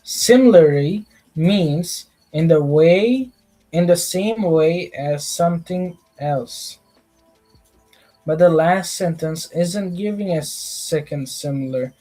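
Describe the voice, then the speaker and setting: A sleepy young man reads quiz explanations aloud at a slow, dragging pace, his slightly higher voice husky and breathy. He mumbles and restarts his sentences as he studies late at night, with faint music playing behind him on a webcam mic. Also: dark tone, low-quality recording. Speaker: livestreamer studying for a test. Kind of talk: casual late-night study livestream.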